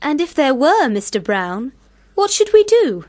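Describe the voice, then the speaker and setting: An actress playing a young Victorian sister, demure yet mocking, in a period radio drama. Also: female voice, high-pitched, very animated, unhurried, a little mellow. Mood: demurring.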